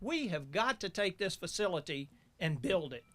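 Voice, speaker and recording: A man's voice: high voice, sing-song, normal speed, fairly bright tone. A middle-aged man giving a folksy welcome speech as master of ceremonies.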